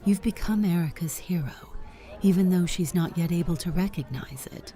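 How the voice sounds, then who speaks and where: A woman voicing a flirtatious, jealous, tender aunt in a visual novel game, speaking softly and intimately with a breathy delivery. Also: fairly low pitch, moderate pace, close to an everyday voice. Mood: tender, admiring.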